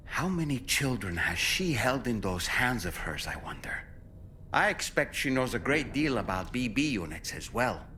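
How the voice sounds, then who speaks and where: Bright-voiced, thoughtful, articulate crewman. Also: medium pitch, slight background noise. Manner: formal, articulate, musing. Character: thoughtful, courteous crewman aboard a ship, gently wondering. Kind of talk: video game character monologue.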